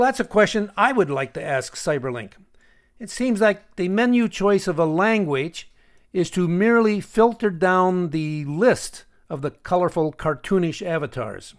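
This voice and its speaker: A man narrating a screencast tutorial as the host, explaining deliberately and with pointed emphasis. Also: high voice. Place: voice-over for a screen recording.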